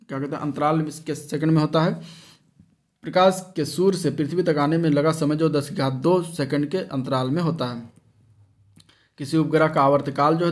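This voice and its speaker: A man gives an exam coaching lesson in a slightly high-pitched voice. He reads from study notes with short explanatory asides, halting and stumbling now and then over technical terms.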